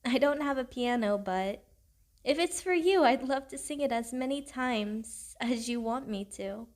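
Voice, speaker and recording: A woman's voice: fairly high pitch, a little slow, lightly acted. A woman playing a sweet, devoted young girlfriend in an intimate audio roleplay, tender, earnest and a little self-conscious as she speaks to the listener. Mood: eager, tender. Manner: tender, gentle, affectionate.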